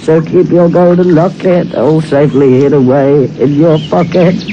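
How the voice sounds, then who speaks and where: Male performer as menacing graveyard murderer: high voice, half-sung morose confession, old record under loud ambient noise. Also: fairly even intonation, normal speed, muffled recording, lightly acted. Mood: morbid confession. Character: morbid, secretive child killer lurking in a graveyard. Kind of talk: half-sung dramatic monologue from a novelty record.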